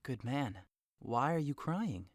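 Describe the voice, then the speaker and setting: A man's voice: mid-range voice, lively intonation, slow, no echo. A brooding, lovesick young man, played by an actor in an audio drama. He sighs and lingers over his sorrow, then rises into passionate outbursts. The recording is dry and close. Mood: melancholy. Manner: sighing, wistful, swings into passionate outbursts.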